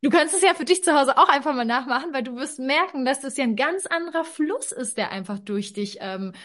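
A woman's voice: high voice, animated, fairly quick, fairly bright tone. A woman speaking as a podcast guest in a casual conversation, explaining thoughtfully with many fillers and restarts.

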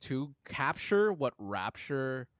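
A man's voice: mid-range voice, very animated, slow pace, fairly bright tone, no room sound. Emphatic man chatting casually, dry close sound. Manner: emphatic, enthusiastic, slangy, often swearing. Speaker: podcast co-host. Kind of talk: casual conversational film and game chat.

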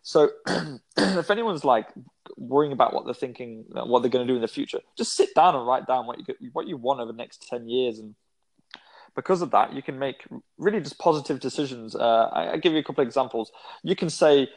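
A man in his twenties chats as an interview guest. His pitch sits in an ordinary middle range. He rambles, with lots of ums, uhs and restarts. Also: lively intonation, fairly quick, darkish tone, low-quality recording. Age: 20s. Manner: rambling, many fillers and restarts. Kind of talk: casual interview chat.

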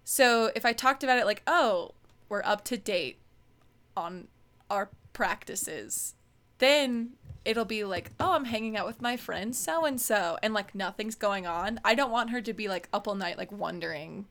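A woman's voice: medium pitch, animated, measured pace, fairly bright tone. A woman in her twenties co-hosting a podcast in casual chat, giggly and full of fillers. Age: 20s. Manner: chatty, giggly, lots of fillers. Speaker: podcast co-host.

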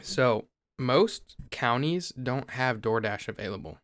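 A young man from Ohio, host of a podcast, explaining things in a relaxed, casual way with small restarts. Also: medium pitch, slow, no room sound, clean studio sound. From Ohio, USA.

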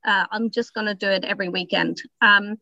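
A woman's voice: mid-range voice, steady tone, brisk, gravelly, bright, forceful tone, no echo, highs cut off. A woman whose first language is German, speaking English as a writing coach on a podcast, giving encouraging, practical advice with frequent ums. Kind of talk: casual podcast chat.

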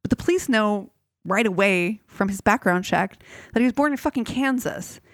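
A woman's voice: mid-range voice, moderate pace, no room sound. Female podcast co-host, casual crime storyteller, curses a lot, dry studio mic. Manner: swears freely, animated retelling with quoted voices.

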